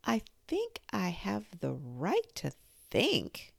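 Woman doing a polite little girl, soft and curious, voice low with some gravel. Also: big pitch swings, measured pace, dry recording, full character voice.

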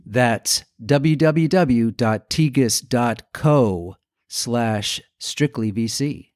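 A man's voice: medium pitch, very slow, darkish tone. A man reading a scripted advertisement, spelling out a web address as an announcer. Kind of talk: slow scripted ad read.

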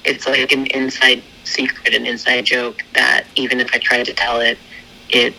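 A man's voice: medium pitch, completely flat delivery, normal speed, creaky, sharp tone, pitched above the performer's own voice, exaggerated voice, low-quality recording. A man putting on a vague, mystical woman shaman who rambles solemnly about inner journeys. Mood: spacey. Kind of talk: comedy bit with a put-on guest.

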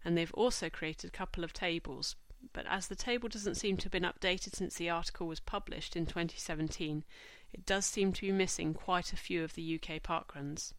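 A woman hosts a scripted podcast, her voice a little stuffed up from a cold. She talks in a measured, informative way and slows right down when she reads out lists. Her voice sits fairly low and is recorded dry and close to the mic. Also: no room sound. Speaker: podcast host.

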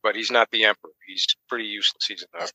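A man's voice: on the low side, fairly even intonation, racing, gravelly, sharp tone, dry recording, poor audio. A man co-hosting a podcast, giving a casual book summary in an informal, tentative way. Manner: tentative, informal, hedging as he explains. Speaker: podcast co-host.

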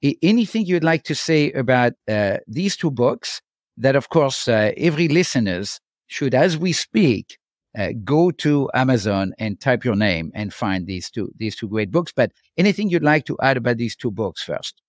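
Man, french accent, podcast host, halting with fillers, dry close sound. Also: mid-range voice, sing-song, no room sound. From France. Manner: halting, many fillers and restarts. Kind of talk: casual interview chat.

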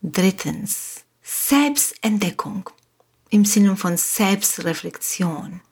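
A woman with a Spanish accent, a native Spanish speaker from Uruguay, hosting a motivational podcast with slow, repetitive, emphatic phrasing. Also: mid-range voice, lively intonation, darkish tone, breathy edge. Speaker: podcast host, coach. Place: home studio on an island.

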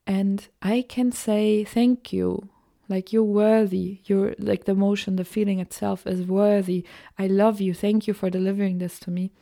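Soft-spoken German-accented woman, gravelly. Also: mid-range voice, flat delivery, moderate pace. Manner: gentle, searching, with soft whispered asides. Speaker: podcast host. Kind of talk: solo spiritual podcast talk.

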